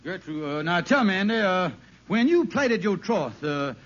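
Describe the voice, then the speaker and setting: An actor with an American accent playing a scheming, smooth-talking lodge brother in an old radio sitcom, hesitant and wheedling with folksy grammar. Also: male voice, high-pitched, very animated, moderate pace. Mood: anxious. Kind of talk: 1950s radio sitcom acting. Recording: muffled old radio recording.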